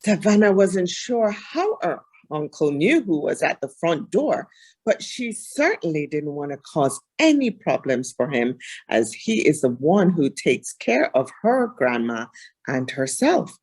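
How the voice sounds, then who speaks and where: A woman's voice: low-pitched, big pitch swings, unhurried, a little mellow, slightly rough. A woman reading a children's storybook aloud expressively.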